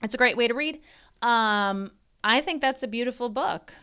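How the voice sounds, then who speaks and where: A woman reading a children's story aloud as a tutor, expressively and deliberately, with informal, encouraging asides to young listeners. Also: on the high side, pushed and bright, smooth. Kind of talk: modeled children's read-aloud with chatty asides.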